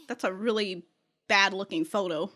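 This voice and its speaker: A woman's voice: mid-range voice, very animated, slow, fairly bright tone. A woman giving casual, slangy, sweary and giggly reaction commentary as host of a reaction video.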